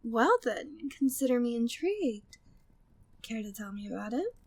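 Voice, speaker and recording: High-voiced flirty maid played by a woman. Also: sing-song, moderate pace, husky, dry recording, heavily acted. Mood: curious, playful. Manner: affectionate, teasing, formal period wording. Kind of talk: ASMR roleplay audio drama.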